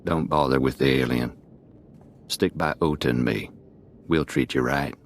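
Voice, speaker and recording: An actor playing a rough, sly prison gang boss in a video game, talking with folksy, slangy swagger. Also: male voice, very deep voice, moderate pace, full character voice. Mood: cocky.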